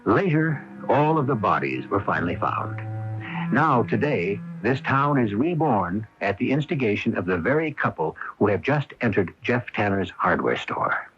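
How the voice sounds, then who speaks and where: A man's voice: lowish voice, big pitch swings, normal speed, a bit bright. A man hosting an old radio mystery with dramatic scripted narration. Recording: muffled vintage AM radio broadcast.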